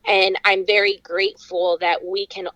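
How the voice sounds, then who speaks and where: A woman's voice: medium pitch, flat delivery, moderate pace, a bit bright, dry recording, rough recording. A woman from California speaking as a podcast guest, telling personal stories in a casual way. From California, United States.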